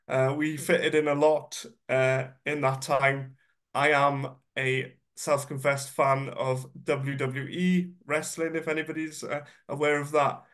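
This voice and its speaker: Congested man, slightly higher voice. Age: 30s. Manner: chatty, self-deprecating, with frequent ums and uhs. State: congested, slightly nasal from a cold. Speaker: guest speaker. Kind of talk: informal personal presentation.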